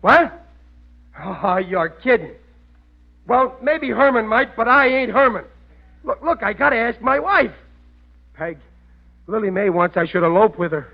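An actor playing a good-natured, gullible, middle-aged working-class American husband who blusters ungrammatically in a 1940s radio comedy. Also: male voice, sits high, animated, unhurried, gravelly, pauses often, heavily acted. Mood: bewildered. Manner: blustery, ungrammatical everyman talk. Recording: muffled vintage radio recording.

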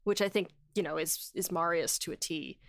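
A woman's voice: lowish voice, normal speed, no room sound, studio quality. A woman chatting informally in a podcast discussion, sprinkling her talk with conversational filler phrases. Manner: informal, frequent "like" and "you know".

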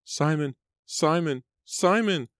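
A man voicing a frantic woman who shrieks and chants in a high, strained voice. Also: animated, takes it slowly, no echo, studio quality. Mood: shrill chanting.

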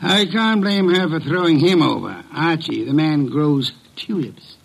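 Fussy detective genius, pompous formal diction, gravelly, higher-pitched male voice, easily irritated, old radio drama. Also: a little slow, heavily acted. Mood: grumpy. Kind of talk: classic American radio drama acting.

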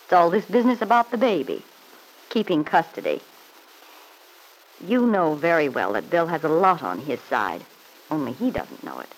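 A woman's voice: sits low, animated, moderate pace, pauses often, slight background noise, poor audio. An actress playing a smug, needling married woman in an old-time radio soap opera, with an arch, mock-sweet, taunting manner. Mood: knowing, taunting.

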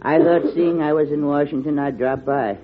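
A man playing a polite, folksy visitor with a fairly high voice and hesitant speech. It is vintage radio drama with a thin, tinny sound. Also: fairly even intonation, normal speed, slight background noise, natural voice. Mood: hesitant, curious. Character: hesitant, polite male visitor, an old acquaintance. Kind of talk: old-time radio drama acting. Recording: tinny old radio recording.

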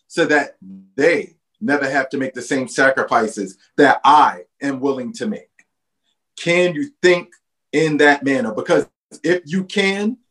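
A man's voice: on the high side, fairly bright tone. A man speaking earnestly in conversation, persuading with a run of rhetorical questions. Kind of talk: casual conversation.

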